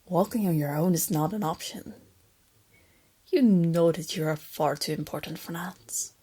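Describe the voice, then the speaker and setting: A woman voicing a female lamia captor in an intimate ASMR roleplay, soft and often whispery, teasing and coaxing, with condescending sweetness and a quiet menace. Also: lowish voice, measured pace, slightly rough. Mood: coaxing, bossy.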